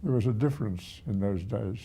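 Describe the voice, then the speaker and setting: A man of about a hundred with a husky, aged voice, reminiscing haltingly as a retired museum director. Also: lowish voice, mellow. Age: 100. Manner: halting, with repeated words and pauses.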